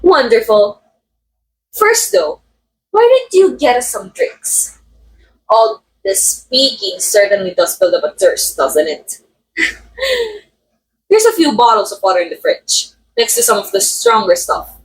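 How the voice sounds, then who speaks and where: High female voice, acted, theatrical murderous diva, sweetly menacing, very swooping intonation, ASMR roleplay drama. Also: wildly swooping pitch, vocal fry, a little hiss or hum, exaggerated voice, rough recording. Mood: coy, imperious. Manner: theatrical, coy, condescending, drawn-out phrasing. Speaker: voice actress in audio roleplay. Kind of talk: ASMR roleplay acting, addressing the listener.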